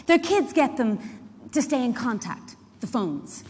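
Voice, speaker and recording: Animated, high-voiced working mum, echoey hall. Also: female voice, a little slow. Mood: chatty, explaining. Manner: chatty, emphatic, confiding asides to the listener. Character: Stella, busy, warm-hearted, indignant working mother and community care worker. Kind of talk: dramatic monologue reading performed live. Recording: echoey hall, live stage reading.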